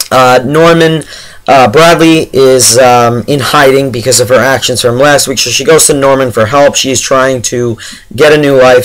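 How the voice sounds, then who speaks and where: Hoarse, tired man reviewing TV casually. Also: medium pitch, poor audio. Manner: chatty, addresses viewers as guys, halting. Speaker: YouTube TV episode reviewer. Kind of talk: casual spoken review to camera.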